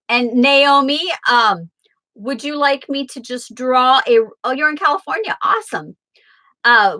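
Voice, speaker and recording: A woman from Southern Illinois hosts a tarot livestream, chatting and answering viewer comments. Her voice sits a little high and sounds bright and forceful. She talks slowly, and the sound is dry and close. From Southern Illinois, USA. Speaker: tarot reader and livestream host. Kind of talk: casual livestream chat with viewers.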